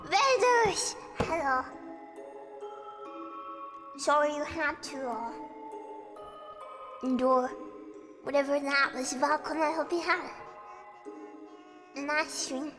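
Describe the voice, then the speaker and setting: A woman with a girlish, slightly croaky voice chatting casually on a livestream in a playful, self-deprecating way. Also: slow, pauses often. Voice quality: high, girlish voice. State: slightly croaky and sniffly from singing. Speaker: livestreamer hosting a chat and karaoke stream. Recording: music behind the voice. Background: music.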